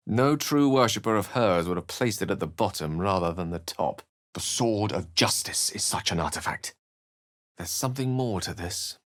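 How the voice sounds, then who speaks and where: A man's voice: lowish voice, dry recording, studio quality. A British man voicing an eloquent, courteous wizard in a video game, with articulate, bookish and theatrical phrasing. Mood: wry, thoughtful. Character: eloquent, urbane male wizard, wry and courteous. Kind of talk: video game character voice acting.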